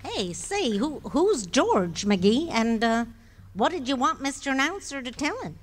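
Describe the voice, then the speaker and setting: A woman's voice: high-pitched, big pitch swings, a little hiss or hum. A woman playing a teasing, fond wife. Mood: suspicious. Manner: slow, teasing, sweetly scolding. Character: fond, sharp-witted wife, middle-aged. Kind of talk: live stage radio play reading. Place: ballroom stage before an audience. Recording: live ballroom sound with reverb.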